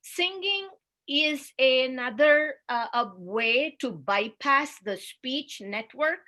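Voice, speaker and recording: A woman with an Indian accent giving a slow, deliberate, instructive webinar talk as a speech therapist over a video call. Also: sits high, animated, bright, forceful tone. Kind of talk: webinar presentation.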